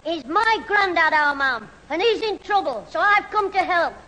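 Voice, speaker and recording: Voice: very high-pitched, lively intonation, moderate pace, bright, forceful tone, full character voice. An actor playing a cheeky, scheming schoolboy in a 1970s radio sitcom. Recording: reverberant old radio recording before audience.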